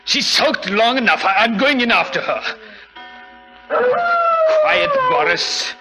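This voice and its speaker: An actor playing a domineering, easily alarmed aristocrat in a dramatic, exclamatory 1940s radio style. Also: male voice, extremely high pitch, wildly swooping pitch, slow pace, loud music behind the voice, exaggerated voice, poor audio. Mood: angry, impatient.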